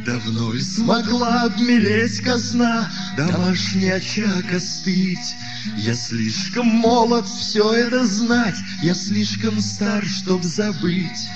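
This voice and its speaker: A man's voice: high voice, lively intonation, slow pace, highs cut off. A man singing an acoustic bard song as a singer-songwriter. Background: plucked acoustic guitar.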